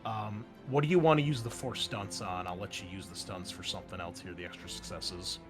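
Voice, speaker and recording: A man's voice: lowish voice, fairly even intonation, fairly quick, music in the background. A man acting as game master, narrating and refereeing a tabletop roleplaying game in an informal, conversational way.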